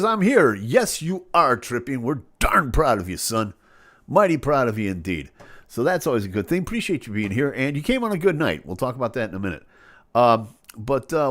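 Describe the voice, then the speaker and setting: A man with a head cold hosting his live stream, chatting casually and jokingly as he reads out viewer comments. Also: mid-range voice. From Chicago area. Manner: jokey, playful, animated delivery with sudden loud bursts.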